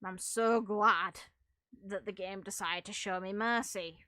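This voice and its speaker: Bright-voiced woman chatting about a game. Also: mid-range voice, steady tone, unhurried, no echo, clean studio sound. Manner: rambling, frank, casually sweary. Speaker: game streamer. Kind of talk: casual gaming commentary.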